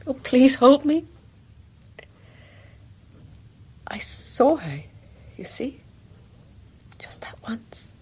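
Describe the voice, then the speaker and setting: An actress playing an anguished, frightened young wife in a radio drama, breathy and halting, often slipping into whispers. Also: female voice, sits high, very animated, darkish tone, full character voice. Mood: pleading, frightened. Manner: halting, fragmented, lingering delivery.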